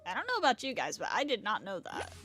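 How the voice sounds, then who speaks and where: A woman's voice: a little high, huge pitch swings, fast, fairly bright tone. A woman streaming a game, giving casual, musing live commentary in an informal way. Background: game music.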